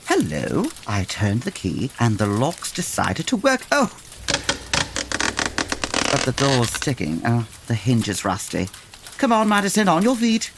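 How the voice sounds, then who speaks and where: A man playing a formal, earnest gentleman detective in an old-time radio comedy drama, with old-fashioned exclamations. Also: medium pitch, wildly swooping pitch, measured pace, gravelly, heavy background noise, full character voice. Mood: exasperated.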